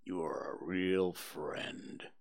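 A man voicing a gloomy old male donkey in a storybook reading, speaking in a low, sighing, half-whispered drawl. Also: steady tone, drawn-out words, gravelly, full character voice.

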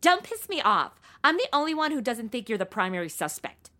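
Woman acting sassy modern girl in an old radio detective spoof, high voice all over the place, music under it. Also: very animated, fairly quick, sharp tone. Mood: annoyed. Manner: theatrical, exclamatory valley-girl complaining. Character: sassy, shallow modern young woman in a 1950s detective show. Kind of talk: comedic audio drama acting.

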